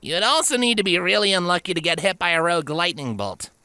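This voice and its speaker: A man's voice: sits high, lively intonation, fast talker, bright, forceful tone, clear, resonant tone, no room sound, pitched above the performer's own voice, exaggerated voice. A man voicing a brash, cocky tomboy pegasus filly, breezy and dismissive, for a storybook reading.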